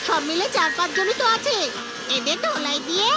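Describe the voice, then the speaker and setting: A tiny, cocky cartoon sidekick, extremely squeaky and animated. Loud music runs behind the voice. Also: female voice, brisk. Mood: cocky, eager. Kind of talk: comic cartoon dubbing.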